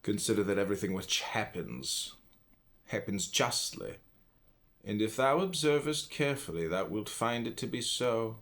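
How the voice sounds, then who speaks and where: A man from the United States reads philosophical writing aloud. His voice sits a little low. He delivers it deliberately, one phrase at a time, leaving pauses between them. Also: very animated, a bit bright. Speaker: audiobook reader. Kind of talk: measured reading aloud of philosophical text.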